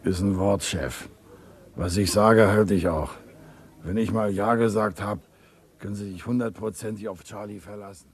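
Man doing a low gravelly crook, raspy, cheeky street slang, radio crime play. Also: fairly even intonation, normal speed, lightly acted. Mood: streetwise. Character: cocky small-time male burglar in slang. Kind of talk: vintage radio crime drama.

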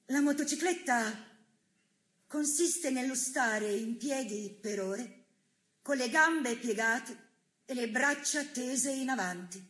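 A worn, steadfast woman held prisoner in a stage drama. She speaks in a fairly high voice, very slowly and solemnly, measuring out each phrase with gentle resolve. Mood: grave, haunted. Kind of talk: stylized stage theatre monologue and dialogue.

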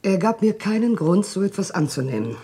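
A woman playing a distraught, evasive wife under police questioning in a radio play, speaking haltingly and nervously. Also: mid-range voice, big pitch swings, warm tone, husky. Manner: hesitant, halting, agitated. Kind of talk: German radio play acting, dramatic dialogue.

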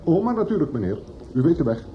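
A noisy, muffled radio play recording of a waiter. His voice is fairly high and swings widely in pitch as he obliges. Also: very animated, quick pace. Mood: obliging, puzzled. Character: obliging, puzzled male waiter. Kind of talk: radio drama acting.

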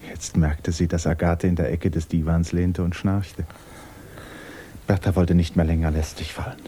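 A man's voice: deep voice, level tone, normal speed, mellow, slight background noise. A man narrating a literary radio play as scripted storytelling.

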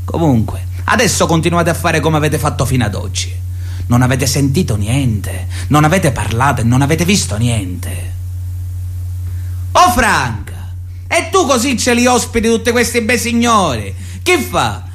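A cocky young Sicilian mafioso in a radio play. He boasts and insinuates menacingly in a fairly high, very animated voice, with faint music underneath. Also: moderate pace. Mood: mocking. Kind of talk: theatrical radio drama monologue.

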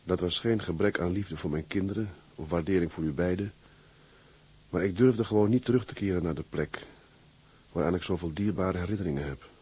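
An actor playing an earnest, weary sea captain in a radio drama, speaking in a sober, measured, plain way. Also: male voice, deep voice, a little mellow, slightly rough. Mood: grave, resigned. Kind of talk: Dutch radio drama acting.

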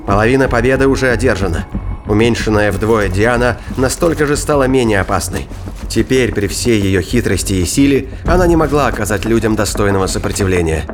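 A man's voice: fairly low pitch, lively intonation, a little fast, slight background noise. A man reading scripted dramatic narration for an audio drama. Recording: loud music and effects behind. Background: music, sound effects.

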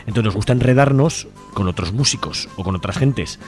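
Fast-talking Spanish radio host, male. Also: on the low side, lively intonation, a little mellow, faint music behind. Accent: Spain. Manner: chatty, colloquial. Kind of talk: informal radio interview and show sign-off.

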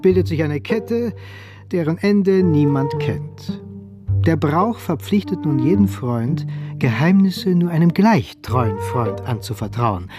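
A man narrating a radio play in an expressive, literary storytelling style. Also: a little high.

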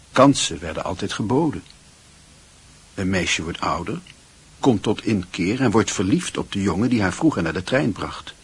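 A man's voice: sits low, husky, heavily acted. A man narrating a literary radio drama, reflecting on a schoolboy's story as a scripted storyteller.

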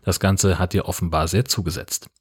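Raspy-voiced man co-hosting a podcast. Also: low voice, steady tone, normal speed. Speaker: podcast co-host. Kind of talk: casual film podcast chat.

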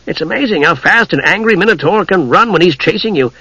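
A man's voice: sits high, expressive, fast talker, sharp tone, breathy edge, no echo, lo-fi sound, pitched above the performer's own voice, exaggerated voice. A man voicing a small, naive, chatty kender adventurer who rambles eagerly, in a fantasy story reading. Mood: indignant, rambling.

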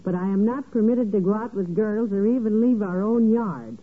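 An actress playing a patient, gently sardonic wife and secretary with a folksy, dryly teasing manner in a 1940s radio comedy. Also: female voice, mid-range voice, expressive, moderate pace, breathy edge. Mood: wry. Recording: tinny, noisy old radio broadcast.

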